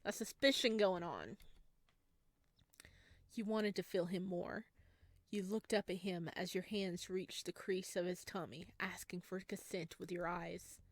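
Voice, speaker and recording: A woman playing through a visual novel, reading the text aloud and adding animated, laughing comments. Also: on the low side, unhurried, no room sound. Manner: animated, laughing asides between hushed narration. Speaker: game streamer.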